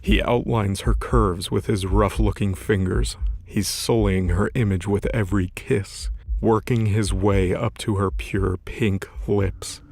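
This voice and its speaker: A man's voice: lowish voice, steady tone, measured pace, gravelly, a little mellow. A man reading scripted, dramatic present-tense narration for an audio drama.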